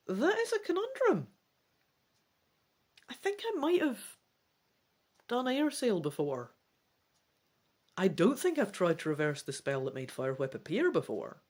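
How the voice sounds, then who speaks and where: Woman host, dramatic storybook reader with very animated pitch and chatty, self-deprecating asides. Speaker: host of a gamebook let's play.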